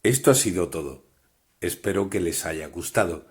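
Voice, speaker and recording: A man's voice: low voice, lively intonation, moderate pace, a little hiss or hum. A man hosting a scripted interview, putting questions and addressing listeners as presenter. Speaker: interviewer, host.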